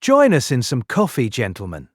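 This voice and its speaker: An actor playing an older police inspector in an audiobook drama, gruff and hearty, with a teasing fatherly manner. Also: medium pitch, huge pitch swings, normal speed, no echo, clean studio sound. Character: genial, irritable old police inspector, father of grown son.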